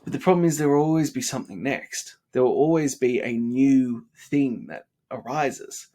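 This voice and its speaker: A man's voice: medium pitch, moderate pace, warm tone. Tired, congested man talking earnestly. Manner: earnest, rambling, many fillers. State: congested and sniffly. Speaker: vlogger. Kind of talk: late-night motivational vlog.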